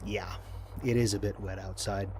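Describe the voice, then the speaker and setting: A man playing a gentle, patient, thoughtful astronaut explorer in an audio drama, talking and narrating mission logs. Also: on the low side, fairly even intonation, moderate pace, slight background noise, lightly acted. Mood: gentle, amused.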